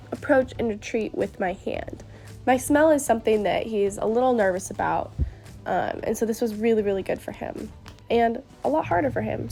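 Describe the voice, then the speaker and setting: A young woman with a stuffed-up nose from a cold narrates a training vlog, earnest and upbeat. Her voice has a gravelly, fry-touched edge, and soft music plays underneath. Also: medium pitch. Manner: upbeat and earnest, explaining step by step. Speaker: horse trainer. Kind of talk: voice-over narration of training footage.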